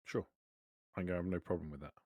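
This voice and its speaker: A man with a deep voice plays a tabletop game, talking casually in character. His phrasing is short and laid-back, and the sound is close and dry. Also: fairly even intonation, fast talker, dark tone, no room sound.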